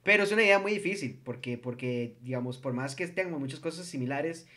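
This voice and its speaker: A man's voice: medium pitch, animated, fast, a crowd in the background. A young man with a Costa Rican accent hosting a casual group chat, laid-back and slangy with lots of filler words. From Costa Rica.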